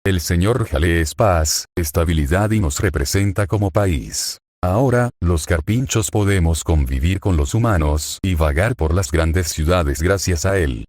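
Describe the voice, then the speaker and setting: Deep dry-sounding man as a loyal capybara citizen. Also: a little slow, darkish tone, dry recording. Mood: calm, approving. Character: capybara citizen, calmly loyal to his leader. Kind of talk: scripted street interview answer.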